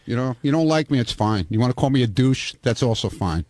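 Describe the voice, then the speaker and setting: Fast, blunt man arguing. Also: mid-range voice, animated, darkish tone, no room sound. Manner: blunt, profane, combative. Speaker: staff member of a radio show. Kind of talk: heated unscripted talk.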